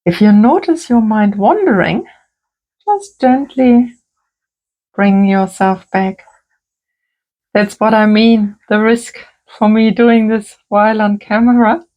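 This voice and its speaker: A woman working as a creative therapist, talking gently and softly through a calm crafting tutorial. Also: mid-range voice, lo-fi sound. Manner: gentle, soft-spoken, unhurried.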